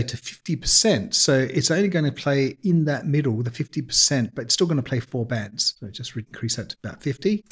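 A man explains music software in an informal, plain-spoken tutorial style as an instructor. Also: mid-range voice, husky.

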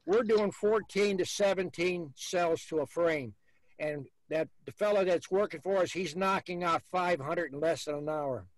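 A man's voice: high-pitched, moderate pace, dry recording, low-quality recording. A man, hosting a beekeeping video call and giving blunt, folksy advice. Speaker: beekeeper host.

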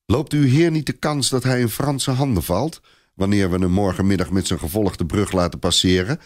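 A man voicing a doubtful bridge commander in a storybook reading, with a booming, questioning delivery. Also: normal speed, darkish tone, close to an everyday voice. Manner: questioning, wary. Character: heavy-voiced, doubtful male bridge commander. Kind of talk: audiobook character dialogue.

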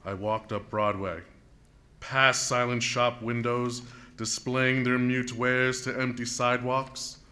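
An actor playing a weary male survivor of a Martian invasion, narrating solemnly and deliberately in a radio drama. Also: mid-range voice, level tone, sharp tone. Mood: somber, haunted. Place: planetarium dome theater, live performance.

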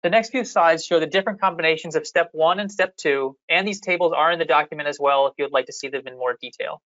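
Staff presenter reading thru slides, measured, dry muffled audio. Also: medium pitch, fairly bright tone, no echo. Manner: measured, methodical, explanatory. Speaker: fishery management staff presenter.

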